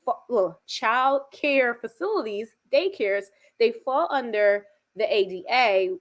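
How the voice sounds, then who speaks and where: A woman hosting a conversational interview, her voice a little raspy and partly lost from shouting, speaking informally and correcting herself. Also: on the high side, animated, slow. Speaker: host, school educator and coach.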